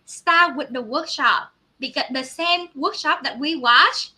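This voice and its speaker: Very high, piercingly bright woman host. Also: measured pace. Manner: emphatic, repetitive, non-native grammar. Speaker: host of online business promotion. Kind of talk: enthusiastic testimonial pitch.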